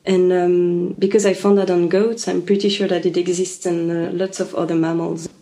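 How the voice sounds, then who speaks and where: A woman from Switzerland, a biologist answering interview questions thoughtfully, with frequent ums and pauses. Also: on the low side, little pitch movement, mellow. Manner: thoughtful, hesitant, many ums and uhs. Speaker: biologist guest.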